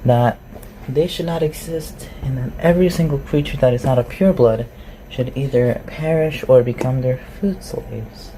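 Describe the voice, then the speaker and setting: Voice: mid-range voice, normal speed, warm tone, close to an everyday voice. A performer voicing a curious, awkward, chatty shapeshifter recluse who asks hesitant, rambling questions in a roleplay ASMR audio. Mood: attentive. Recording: clearly noisy home recording.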